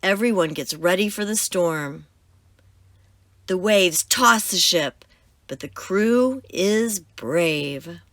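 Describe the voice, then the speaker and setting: Children's storyteller, female: expressive and dramatic, slow-paced with lively pitch, dry sound. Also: no room sound. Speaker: children's storyteller and channel host. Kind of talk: expressive children's book read-aloud.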